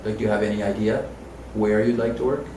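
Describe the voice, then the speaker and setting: A man interviewing a student, patient and soft-spoken with gentle murmured backchannels. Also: lowish voice, little pitch movement, quick pace, noisy background. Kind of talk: casual interview. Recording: roomy, distant mic.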